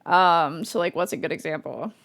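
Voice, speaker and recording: A woman's voice: fairly low pitch, normal speed, a bit bright. A woman streamer chatting casually while playing a video game, rambling and restarting her sentences. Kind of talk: casual gameplay commentary.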